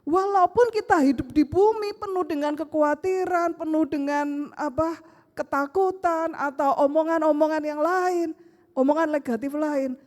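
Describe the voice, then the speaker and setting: Middle-aged woman pastor, Surabaya Javanese accent, high voice, long hall reverb. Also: moderate pace. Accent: Surabaya Javanese Indonesian. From Surabaya, Indonesia. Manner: animated storytelling with rhetorical questions, acts out family dialogue. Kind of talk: sermon. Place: church sanctuary.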